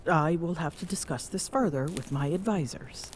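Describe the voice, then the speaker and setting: A woman voicing a tall, proud Amazonian queen who is smitten and flustered, speaking haltingly in regal phrases for an intimate ASMR roleplay. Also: low-pitched, expressive, slight background noise. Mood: composed, bemused.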